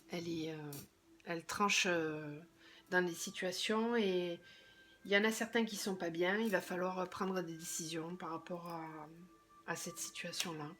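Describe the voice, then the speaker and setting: A slightly hoarse woman doing a tarot reading, thinking aloud hesitantly and often trailing off into a quieter voice. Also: on the low side, a little hiss or hum. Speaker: tarot card reader.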